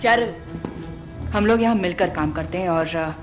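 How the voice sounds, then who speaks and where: A woman's voice: lowish voice, moderate pace, music in the background, close to an everyday voice. An actress playing a weary, dry, tough female team leader in a dubbed film. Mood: measured.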